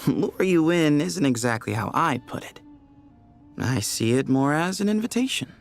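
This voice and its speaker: An actor playing a friendly, streetwise young desert heir in a video game, playful and persuasive. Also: male voice, a little high, big pitch swings, a little slow, vocal fry, faint music behind, heavily acted.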